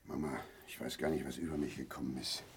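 An actor playing a bitter, volatile East German husband in a grotesque radio play, erratic between muttering and shouting. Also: male voice, very deep voice, steady tone, fast, background noise. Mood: frantic, broken.

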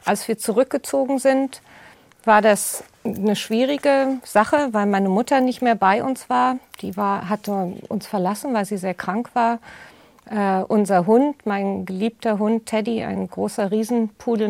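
An older woman who grew up in the United States, speaking as a podcast guest in a reflective, thoughtful way and pausing often with fillers. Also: medium pitch, fairly even intonation, vocal fry, darkish tone, dry recording. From raised in the United States. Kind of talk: conversational interview.

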